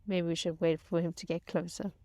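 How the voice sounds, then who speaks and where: A woman vlogger telling personal stories casually, in a halting, chatty way with wry exaggeration. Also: fairly low pitch, level tone, a little mellow, dry recording. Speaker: vlog host telling stories.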